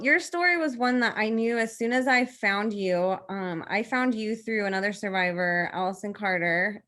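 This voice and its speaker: A woman's voice: mid-range voice, fairly bright tone. A young adult woman hosting a podcast interview over a video call, earnest and admiring. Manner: earnest, admiring, speaks in long flowing sentences. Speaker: podcast host. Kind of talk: interview introduction. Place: home room, indoors.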